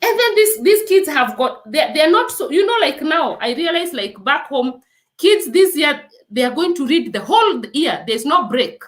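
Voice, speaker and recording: A woman's voice: high voice, big pitch swings, normal speed, fairly bright tone, roomy sound. A woman from Kenya with a Kenyan accent, a nurse speaking as an interview guest in an earnest, urging way. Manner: earnest and exhortative, repeats "let me tell you". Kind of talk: emphatic testimony in an interview.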